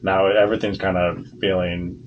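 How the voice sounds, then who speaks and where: A young man with a very low voice talks haltingly, pausing to find the right words. Clear room noise hums behind him. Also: level tone, dull audio. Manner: hesitant, searching for words, describing sounds. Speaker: cochlear implant patient. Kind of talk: casual conversation.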